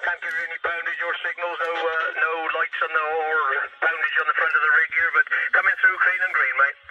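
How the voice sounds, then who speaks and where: A man's voice: a little high, little pitch movement, fast talker, far from the mic. A man chatting casually as an amateur operator over a CB radio transmission. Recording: noisy, muffled CB radio heard from off. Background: loud radio noise.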